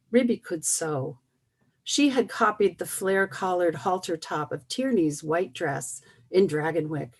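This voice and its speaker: A woman novelist introducing and then reading an excerpt of her own novel in an earnest, measured way. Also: on the low side. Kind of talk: prose reading.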